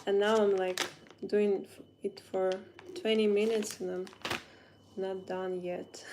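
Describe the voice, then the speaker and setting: A young woman from Ukraine with a Ukrainian accent, chatting casually in English on a phone livestream and often pausing to search for words. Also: medium pitch, flat delivery, background noise. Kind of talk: casual livestream chat while doing makeup.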